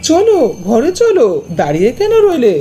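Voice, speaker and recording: A woman's voice: very high-pitched, wildly swooping pitch, moderate pace, warm tone, a little hiss or hum, pitched above the performer's own voice, heavily acted. A woman voicing an earnest, exclamatory woodcutter father in a cartoon fairy tale. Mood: caring. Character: poor woodcutter father, devoted, easily bewildered.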